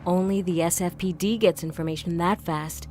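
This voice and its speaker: A determined female investigator played by a voice actress in an audio crime drama. Her voice sits slightly low and she lays out facts in a measured, methodical way. Faint music plays underneath. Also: steady tone, a little mellow, lightly acted. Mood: measured, serious. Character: determined young woman vigilante investigator, level-headed and methodical.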